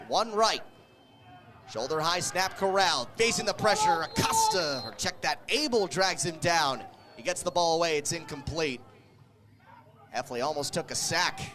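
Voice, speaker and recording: A man with a high-pitched voice gives live radio play-by-play of American football. He speaks as the announcer, following each movement on the field in short, vivid, clipped phrases. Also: very animated, normal speed, fairly bright tone, faint music behind. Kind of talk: live sports play-by-play commentary.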